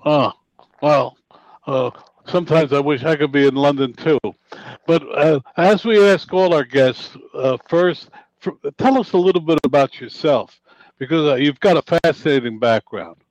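A male radio host interviewing a guest, talking with many fillers and halting restarts. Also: fairly high pitch, darkish tone, dry recording, poor audio.

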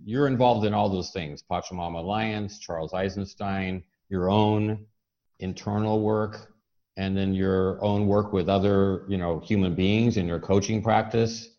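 A man's voice: deep voice, fairly even intonation, a little slow, dark tone. A man hosting a conversational interview, thoughtfully building long, searching questions piece by piece. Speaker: interviewer, show host. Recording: dull, muffled lo-fi audio.

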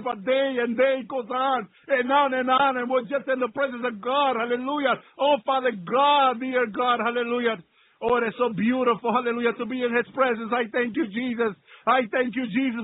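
A man's voice: very high-pitched, no room sound, phone-quality sound. A male preacher giving a fervent, off-the-cuff sermon that slips into prayer, repeating phrases and punctuating them with exclamations of praise.

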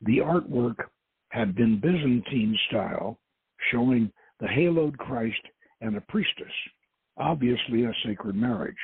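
A man hosting and reading a novel aloud in an informal, halting way, repeating words as he goes. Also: medium pitch, animated, vocal fry, breathy edge, dry recording. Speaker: host, reader. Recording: muffled narrowband radio-like audio.

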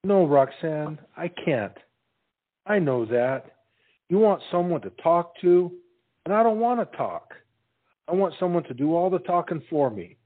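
A simple, earnest young soldier played by a man, tongue-tied and humble. His delivery is slow and halting but swings widely in pitch, in a lo-fi recording of a stage comedy. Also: big pitch swings. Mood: earnest, resigned.

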